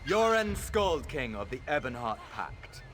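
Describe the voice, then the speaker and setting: An actor playing a formal herald in a fantasy game, announcing in a ceremonial, declamatory way. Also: male voice, medium pitch, big pitch swings, a little slow, a bit bright, slight background noise. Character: formal male herald announcing royal rulers. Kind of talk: fantasy game dialogue acting.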